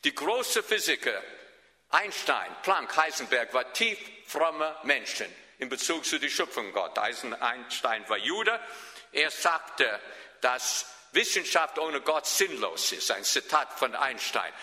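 A man's voice: medium pitch, very animated, takes it slowly, pushed and bright, in a large hall. A man with a Swabian accent preaching a sermon as a pastor, emphatic and often turning to ask his listeners questions.

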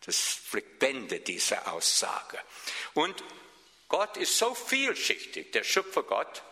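A man's voice: mid-range voice, wildly swooping pitch, slow pace, bright, forceful tone, long reverb tail. A man with a Swabian accent preaching a sermon as a pastor, emphatic and often turning to ask his listeners questions.

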